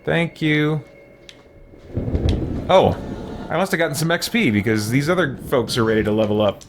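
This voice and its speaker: A man's voice: a little high, normal speed, background noise. A man hosting a roleplay gaming video, casually thinking aloud with theatrical exclamations. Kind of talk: casual roleplay game commentary. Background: faint game music.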